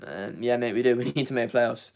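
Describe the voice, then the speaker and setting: A man's voice: medium pitch, fairly quick, vocal fry, darkish tone. A young man hosting a live football fan review, losing his voice, rambling with repeated words and many fillers. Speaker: fan channel host. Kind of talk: live match review, reading chat.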